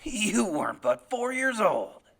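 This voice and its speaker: A man voicing a cold, menacing grown killer father in an audiobook, drawing his words out slowly. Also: high voice, big pitch swings, fairly bright tone, heavily acted. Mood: menacing, insistent. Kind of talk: audiobook character voice.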